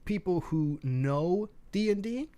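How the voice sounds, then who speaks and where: A man's voice: high voice, expressive, slow, dark tone, no room sound. A man co-hosting a live stream, giving casual, opinionated takes on gaming news in a sardonic, sweary way.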